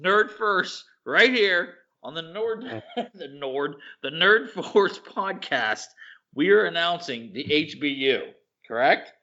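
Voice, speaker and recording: A man co-hosting a podcast in casual chat, excitable and rambling, often restarting his sentences. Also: a little high, slow. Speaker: podcast co-host. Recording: dull lo-fi podcast audio.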